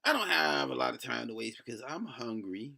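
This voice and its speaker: A man's voice: medium pitch, animated, brisk, gravelly, bright, forceful tone. A middle-aged man with a lisp delivers a rambling, blunt opinion rant, cursing and breaking off mid-thought. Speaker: solo video blogger.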